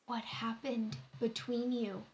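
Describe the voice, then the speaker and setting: A woman's voice: fairly high pitch, little pitch movement, slow, a little mellow, big-room sound, poor audio. An actress playing an intense, needy young woman in a stage drama reading. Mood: insistent.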